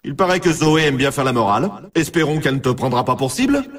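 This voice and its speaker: A man playing an excitable race announcer in a snowboarding video game, emphatic and talking straight to the player in a familiar, needling way. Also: medium pitch, fast talker, far from the mic, close to an everyday voice. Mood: teasing warning. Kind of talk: hyped sports commentary for a video game.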